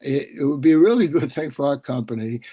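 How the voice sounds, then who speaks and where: Male host for online author interview, medium pitch, dry sound, discursive and halting delivery. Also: animated, dark tone, husky, dry recording. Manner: halting, discursive, thinking aloud. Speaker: host and publisher. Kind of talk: video call author interview. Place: indoors on a video call. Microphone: video call microphone.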